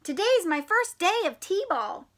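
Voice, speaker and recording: A woman voicing an eager, excitable young boy frog from a storybook, exclaiming loudly and enthusiastically. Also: very high-pitched, takes it slowly, pitched above the performer's own voice, exaggerated voice. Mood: excited.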